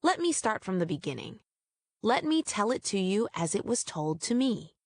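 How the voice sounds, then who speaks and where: A woman narrates a children's story as a read-aloud. Her pitch is medium and her phrasing is deliberate and measured. The sound is very dry, with no room echo. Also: very animated.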